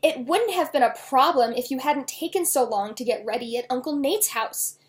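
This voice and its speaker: A woman's voice: high voice, normal speed, natural voice. Woman reading as a scolding, irritable dad. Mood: irritated. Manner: scolding, loud, emphatic. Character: irritable, quick-tempered father of a young girl. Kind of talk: children's novel read aloud.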